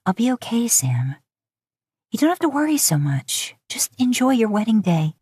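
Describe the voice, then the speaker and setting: A woman voicing a kind, reassuring young woman in an audiobook story, soft-hearted and putting others first. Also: a little high, big pitch swings, normal speed, husky, dry recording, voice pushed up. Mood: tender, teasing.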